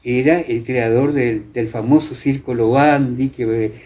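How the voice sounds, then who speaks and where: An Argentine man recounting history conversationally as an interview guest, halting now and then as he searches for names. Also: medium pitch, steady tone, mellow. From Entre Ríos, Argentina. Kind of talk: conversational storytelling. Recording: muffled, clear background noise.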